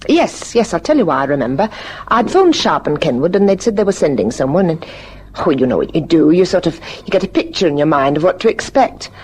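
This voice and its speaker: Chatty genteel widow, lively intonation. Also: female voice, low voice, brisk, slightly rough, exaggerated voice, low-quality recording. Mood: confiding, chatty. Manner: rambling, conversational. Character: chatty, genteel widowed housewife, trusting and forthcoming. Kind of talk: vintage radio drama acting. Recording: vintage radio recording with faint noise.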